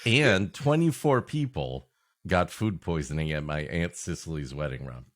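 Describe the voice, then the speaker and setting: A man's voice: a bass voice, lively intonation, moderate pace. A man co-hosting a comedy podcast, bantering playfully in casual chat and often laughing.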